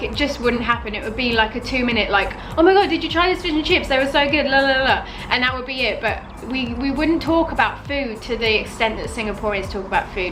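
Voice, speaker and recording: A young British woman in her twenties, talking to camera in a casual, animated vlog and using "like" a lot. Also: a little high, poor audio. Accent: British English. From United Kingdom. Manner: chatty, animated, fills with "like". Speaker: vlogger. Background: background music.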